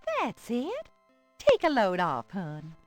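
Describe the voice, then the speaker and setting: A woman playing a kindly, wistful old grandmother in an adventure game, with a gentle aged voice and fond, expressive delivery. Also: medium pitch, slow, dry recording. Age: older. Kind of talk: voice acting in a 1990s adventure game. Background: game music.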